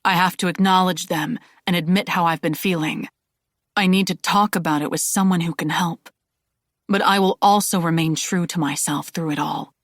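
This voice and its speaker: A woman's voice: lowish voice, steady tone, moderate pace, dry recording, clean studio sound. A woman voicing an earnest, determined young male Jedi. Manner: earnest, pleading.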